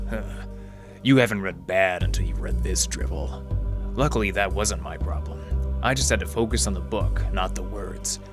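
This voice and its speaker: A man acting as a low-voiced private eye straight out of old radio drama. He is wry and deadpan, delivering hard-boiled lines over music. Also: close to an everyday voice. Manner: deadpan noir wisecracking. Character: wry, hard-boiled male library detective. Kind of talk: 1940s radio detective acting, narration and dialogue.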